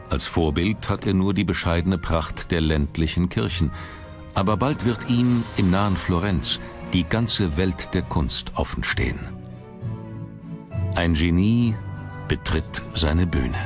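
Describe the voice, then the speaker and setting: A man reading scripted documentary narration with measured, dramatic phrasing. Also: sits low.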